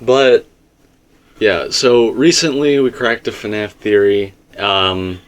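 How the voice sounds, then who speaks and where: A man with a fairly low voice hosts a relaxed discussion show. He talks informally to his viewers and explains his points step by step, as if chatting through an idea with a guest. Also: slow. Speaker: host of a fan theory discussion.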